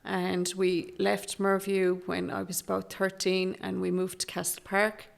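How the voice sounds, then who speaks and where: A middle-aged Irish woman from Galway, speaking softly and hesitantly as a guest in an interview, with many restarts. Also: medium pitch, monotone, measured pace, creaky. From Galway, Ireland. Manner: soft-spoken and hesitant, with many fillers and restarts. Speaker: guest, Traveller activist. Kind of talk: candid interview conversation.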